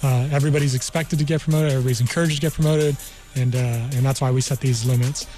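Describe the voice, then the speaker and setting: Measured American man explaining, music behind. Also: medium pitch, steady tone, dark tone. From New Mexico, United States. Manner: halting, measured, repeats "you know". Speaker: guest, army career counselor. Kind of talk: explanatory radio interview. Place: radio studio.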